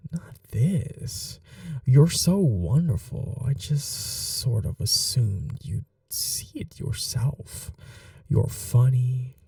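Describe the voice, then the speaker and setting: A man playing an obsessive, doting kidnapper in an intimate ASMR roleplay, speaking softly and breathily with a halting, coaxing tenderness. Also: medium pitch, very animated, dry recording, recorded from a distance, heavily acted. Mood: doting, earnest. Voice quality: soft, breathy, dark. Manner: gentle, halting, coaxing reassurance. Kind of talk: intimate ASMR roleplay, often near whispering.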